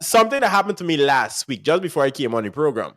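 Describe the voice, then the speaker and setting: A man with a Guyanese accent, hosting a casual music industry chat and telling animated stories with frequent laughs. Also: on the high side, fast. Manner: animated storyteller, laughs often. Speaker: podcast host.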